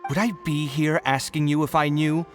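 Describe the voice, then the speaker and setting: A man's voice: a little high, little pitch movement, moderate pace, soft background music, close to an everyday voice. An actor playing a proud, easily riled young male architect in a video game, theatrical and exasperated. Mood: irritated, demanding.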